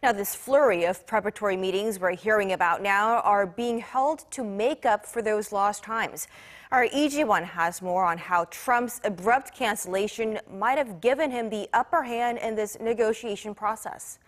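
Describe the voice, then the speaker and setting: A woman news anchor introducing a report in a deliberate, formal reading. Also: medium pitch.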